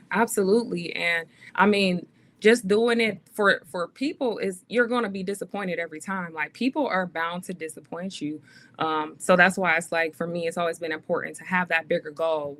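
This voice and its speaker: A woman's voice: on the low side, a bit bright. A woman in her thirties, a podcast guest, talking earnestly and casually with many fillers. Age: 30s. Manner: earnest, faith-driven, many "um"s and "like"s. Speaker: guest, actress and author.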